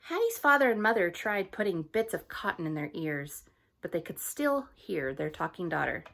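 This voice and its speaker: A teacher reading a picture book aloud to her students in a gentle, friendly storytelling manner. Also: on the low side, big pitch swings, moderate pace, vocal fry.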